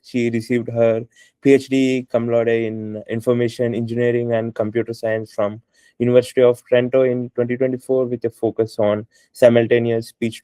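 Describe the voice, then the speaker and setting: Guy hosting a talk, dry mic, relaxed intro with uhs. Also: mid-range voice, clean tone, no room sound. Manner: relaxed, with fillers. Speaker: host. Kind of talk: introducing a guest speaker.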